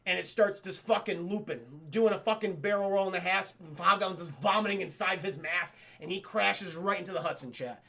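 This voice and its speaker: A man's voice: high voice, a little fast, vocal fry, hardly any pauses, sharp tone. A man streaming a casual, profane scene-by-scene cartoon recap, stammering and addressing his viewers.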